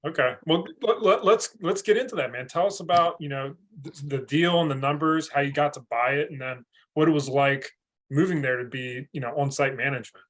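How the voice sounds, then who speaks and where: Relaxed man asking interview questions. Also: fairly high pitch, brisk. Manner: relaxed, says "man" a lot. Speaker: podcast co-host.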